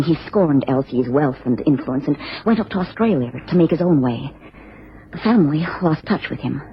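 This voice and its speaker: A woman playing an anxious, genteel American wife in a radio drama, speaking formally and earnestly with careful phrasing. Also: sits low. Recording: dull, noisy old radio recording.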